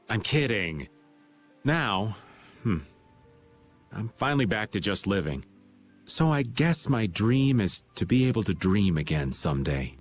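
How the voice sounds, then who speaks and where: Wry, animated, low-voiced older man. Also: gravelly, full character voice. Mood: joking, wistful. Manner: teasing, theatrical, laid-back. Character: wry, playful, world-weary older man. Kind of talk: video game dub acting.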